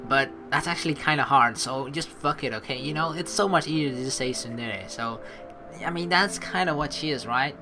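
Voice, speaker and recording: A man hosting a let's play, informal and rambling, moving between casual commentary and reading story text aloud. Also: on the high side. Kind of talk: casual game commentary mixed with dramatic read-aloud narration. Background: game music.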